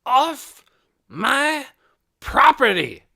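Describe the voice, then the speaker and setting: A reader voicing a pompous indignant recluse. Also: male voice, a little high, huge pitch swings, a little slow, gravelly, sharp tone, voice pushed up, exaggerated voice. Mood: furious. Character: tall stooped indignant older man, pompous recluse. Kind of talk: audiobook character voice.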